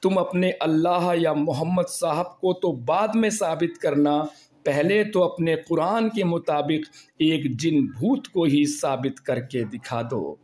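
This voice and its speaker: Hoarse male vlogger, slow and raised in pitch, preaching fiercely from home. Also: a little mellow. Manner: preachy, rhetorical, rising to anger. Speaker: video blogger. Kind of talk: impassioned monologue to camera.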